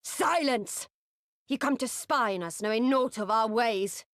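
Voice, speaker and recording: A proud warrior leader of a steppe tribe in a video game. She is stern and solemn, with a slow, authoritative delivery and formal old-fashioned wording. The sound is close and dry. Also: a little high, fairly bright tone, dry recording. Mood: sharp. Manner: solemn, authoritative, archaic diction. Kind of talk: dramatic video game voice acting.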